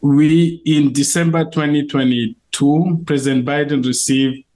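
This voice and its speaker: Cameroonian man, slightly high voice. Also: steady tone, measured pace, a little mellow. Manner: earnest, with frequent fillers and restarts. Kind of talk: interview answers.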